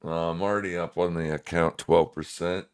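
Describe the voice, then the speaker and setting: A man's voice: low-pitched, fairly even intonation, moderate pace, clean tone. A man with a smoker's voice giving casual, laid-back live market commentary to viewers as a streaming trading host.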